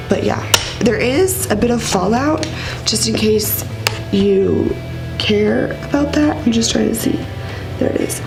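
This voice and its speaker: Sick, raspy-voiced woman vlogger. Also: medium pitch, poor audio. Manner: rambling, filler-heavy, chatty. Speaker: beauty vlogger. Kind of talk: casual makeup tutorial.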